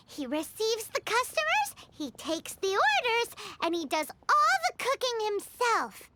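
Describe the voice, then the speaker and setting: A woman voicing a small, excitable, childlike female fairy companion in a video game, with a squeaky voice and a bubbly, chatty manner. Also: background noise, heavily acted. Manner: refers to self in third person, bubbly, thinks aloud.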